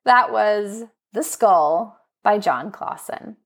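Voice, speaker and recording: A woman presenting book recommendations as a podcast host, speaking in an enthusiastic, expressive, prepared narrating style. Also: mid-range voice, slow pace, vocal fry. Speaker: school librarian.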